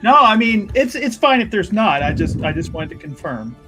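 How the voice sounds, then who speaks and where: A man playing a tabletop game, choosing his words in a measured, wry way. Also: sits high, very animated, music in the background. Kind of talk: casual in-game discussion.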